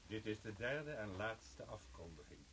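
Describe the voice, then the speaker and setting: An actor playing a formal announcer reading marriage banns in a radio play. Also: male voice, lowish voice, animated, unhurried, fairly bright tone, a little hiss or hum.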